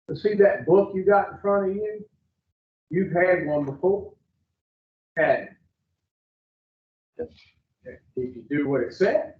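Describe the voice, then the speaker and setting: A man's voice: sits high, slow, long pauses. A man, bluntly questioning new clients at an addiction treatment intake. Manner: blunt, confrontational, drawling. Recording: far-off phone-like audio in an echoey room.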